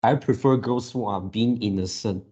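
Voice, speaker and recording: A man giving casual fan commentary as a video creator, halting as he repeats words and searches for phrasing. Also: fairly low pitch, warm tone, husky, muffled recording. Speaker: video creator reacting to a game series.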